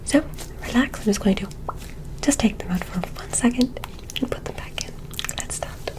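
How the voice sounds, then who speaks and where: A woman doing an ASMR roleplay as an examiner, whispering breathily with gentle, careful, encouraging instructions. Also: a little high, moderate pace. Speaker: ASMR performer role-playing an alien medical examiner.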